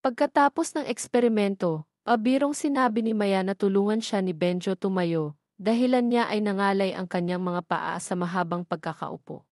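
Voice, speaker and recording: A woman's voice: medium pitch, moderate pace, darkish tone, no echo, studio quality. A woman with a Filipino accent narrating a scripted audio drama story.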